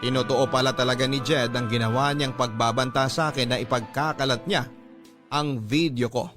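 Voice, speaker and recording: Man, radio storyteller, scripted dramatic narration, medium pitch, music playing behind. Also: fairly even intonation, moderate pace. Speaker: radio storyteller reading listener's letter.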